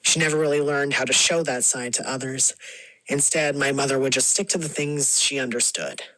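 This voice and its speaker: A man playing a reflective storyteller, delivering a scripted first-person monologue in a measured, wistful manner. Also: medium pitch, steady tone, fairly bright tone, no echo, close to an everyday voice, low-quality recording. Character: reflective grown storyteller recalling a lonely childhood.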